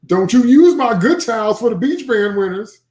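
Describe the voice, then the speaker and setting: A man's voice: extremely high pitch, animated, moderate pace, a little mellow, roomy sound, voice pushed up. A man voicing a smart-mouthed, geeky sixth-grade boy from a children's novel, chatting wryly through his rambling thoughts. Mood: puzzled, wry. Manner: chatty, wry, rambling inner monologue. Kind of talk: first-person children's novel read aloud.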